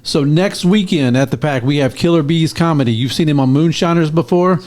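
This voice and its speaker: A man's voice: fairly high pitch, brisk, darkish tone. A man from a local arts center, running through upcoming events on a radio show.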